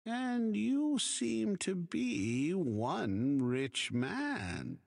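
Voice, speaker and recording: A wry weapons peddler from a video game, husky and slow to get to the point. His salesmanlike patter rises and falls in lively swings, with music playing underneath. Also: sits high, no echo, clean studio sound, full character voice. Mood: sly, appraising. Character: unhurried male shopkeeper, wry weapons peddler. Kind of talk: video game character voice lines.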